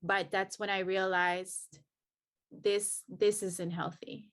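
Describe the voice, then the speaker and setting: A woman's voice: mid-range voice, level tone, slow, a bit bright. A woman from El Salvador, a podcast guest, earnestly and reflectively telling her personal story of healing. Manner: earnest and reflective, many restarts.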